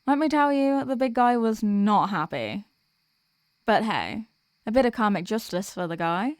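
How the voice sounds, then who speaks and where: A woman's voice: medium pitch, expressive, measured pace, smooth, no room sound, lightly acted. Woman acting a sweet, weary demoness girlfriend, close and dry. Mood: exasperated venting. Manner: chatty, affectionate, intimate address to a partner. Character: sweet, weary demoness girlfriend venting about office work. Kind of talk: ASMR girlfriend roleplay.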